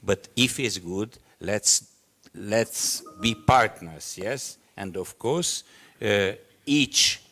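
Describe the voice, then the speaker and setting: A man from Romania speaks live on a conference panel with a Hungarian-Romanian accent. His voice sits low and his delivery is markedly slow. He is halting in English, feeling for each phrase as he goes. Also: expressive, sharp tone. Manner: halting, searching for words in non-native English.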